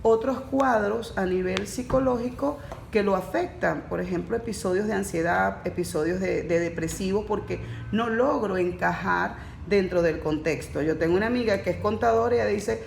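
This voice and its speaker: A woman talks through neurodiversity cases in a relaxed podcast chat, her voice gravelly with fry. She explains patiently and slips into quoted stories. The sound is roomy and echoey, with faint noise underneath. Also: medium pitch, normal speed. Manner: explanatory, tells anecdotes with quoted speech. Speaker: neurodiversity course facilitator. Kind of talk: podcast conversation.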